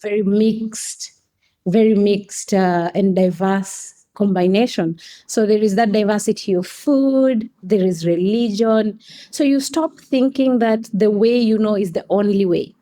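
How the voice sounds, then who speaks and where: A woman's voice: medium pitch, measured pace, warm tone. A woman from Kenya with a Kenyan accent, explaining things articulately in a conversational interview as a guest. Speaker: podcast guest, coffee company staff member.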